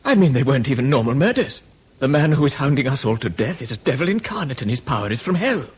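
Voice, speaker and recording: A man with a British accent playing a haunted, eccentric gentleman recluse in a radio drama, speaking formally and intensely in a husky voice. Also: mid-range voice, very animated, quick pace. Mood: grim.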